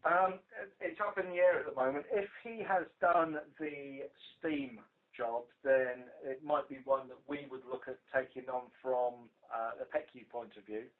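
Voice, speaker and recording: A British man giving an informal, halting work briefing as a police investigator on a conference call over the telephone. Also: mid-range voice, fairly even intonation. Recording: tinny narrow-band phone conference line.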